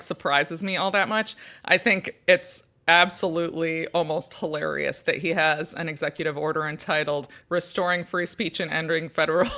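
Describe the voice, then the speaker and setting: A woman's voice: lowish voice, sharp tone. A woman from the United States, a lawyer appearing as a guest, answering in an articulate, earnest way with frequent fillers. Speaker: civil liberties lawyer, guest. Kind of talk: interview answers.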